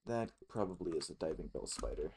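A man's voice: low-pitched, animated, brisk, a little mellow, slight background noise. A man giving casual, rambling let's play commentary with some swearing. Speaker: gaming YouTuber.

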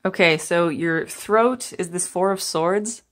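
Low-voiced woman reading tarot cards. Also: takes it slowly. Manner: chatty, meandering, gentle, laughs while talking. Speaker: tarot reader. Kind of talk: pick-a-card tarot reading. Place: indoors at a table.

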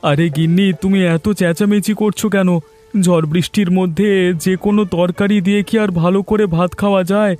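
A man's voice: sits high, fairly even intonation, a little fast, darkish tone, lightly acted. A man voicing an earnest village farmer and husband in a cartoon, with music behind him. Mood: eager, placating. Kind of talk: cartoon dialogue acting. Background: background music.

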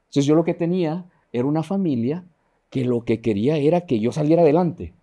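Middle-aged man from Honduras, guest engineer, warm earnest studio voice. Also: a little high, fairly quick. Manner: earnest, animated, gesturing while talking. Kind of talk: studio TV interview. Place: dark TV studio. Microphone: lapel mic.

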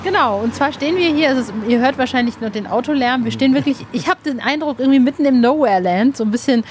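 A woman's voice: high-pitched, fast. A woman hosting a casual on-location reportage, chattily describing what is around her. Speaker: podcast host and reporter. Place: on location at a small museum, outside and in stairway. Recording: ambient noise, on-location recording.

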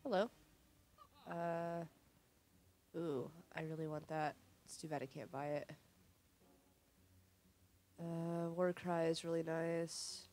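A young woman sniffly with a cold, thinking aloud in low-key, casual commentary as she plays a video game. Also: vocal fry, darkish tone. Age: young adult. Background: game music.

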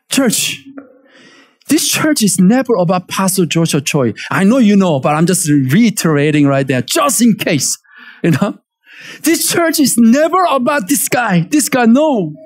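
A man in his forties with a Korean accent, preaching a lively sermon from a church stage and calling for amens. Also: high-pitched. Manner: animated, emphatic preaching with call-and-response. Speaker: pastor.

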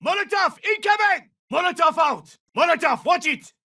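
An actor playing a confident, battle-hardened special forces operator in a military shooter game, giving clipped, terse callouts. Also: male voice, very high voice, animated, moderate pace, vocal fry, sharp tone, raised voice, far from the mic, exaggerated voice. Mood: urgent shouting.

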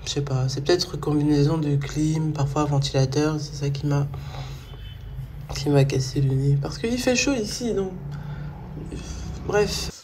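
A woman's voice: deep voice, measured pace, long pauses, noisy background. Stuffy-nosed young woman vlogging casually. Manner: chatty, thinking aloud with many fillers. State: stuffy nose from a cold. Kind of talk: casual vlog chat.

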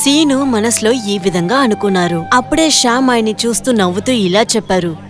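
A woman's voice: fairly high pitch, fairly quick. A woman narrator reading a scripted moral story for children. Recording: loud music behind the voice.

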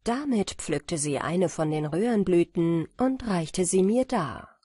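A woman narrating a bedtime fairy tale audiobook in a gentle, measured storytelling manner. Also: fairly low pitch, expressive, husky, no room sound, studio quality.

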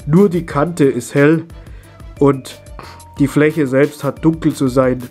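A middle-aged man, stuffy and husky from a cold, chatting his way step by step through a hands-on hobby tutorial. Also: a little high, fairly even intonation, slow, mellow, soft background music. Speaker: model railway hobby video host.

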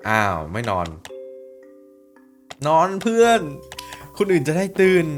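A young man reacting to a video in a loose, chatty way, full of quick exclamations and slang. His pitch sits in an ordinary middle range, with soft music faintly behind him. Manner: excitable, exclaims often, slangy. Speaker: YouTuber reacting to an animation. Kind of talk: casual reaction commentary.

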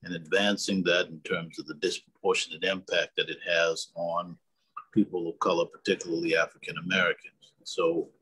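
A man's voice: sits low, level tone, moderate pace, pushed and bright, slightly rough, poor audio. A man speaking as a city councilmember, giving brief formal remarks at an online meeting.

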